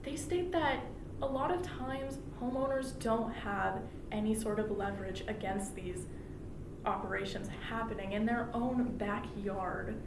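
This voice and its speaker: A woman's voice: on the high side, unhurried. A woman delivering a prepared persuasive public speech in an earnest, explanatory way, as a student speaker. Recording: echoey room from far off, clearly noisy.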